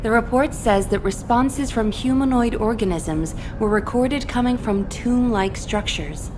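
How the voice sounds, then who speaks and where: Woman doing a businesslike army briefing lady from a video game, on the high side, formal, noise behind her. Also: unhurried, close to an everyday voice. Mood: serious, composed. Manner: formal, instructive. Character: composed, businesslike female military briefing officer. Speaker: mission briefer. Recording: clear background noise.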